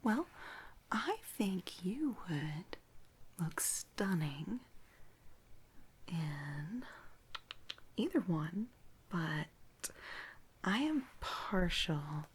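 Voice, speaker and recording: A woman whispering gently and haltingly in a soft-spoken ASMR personal-attention roleplay, playing an attentive makeup artist. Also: lowish voice, very animated, husky. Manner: gentle, hushed, unhurried, halting with soft pauses.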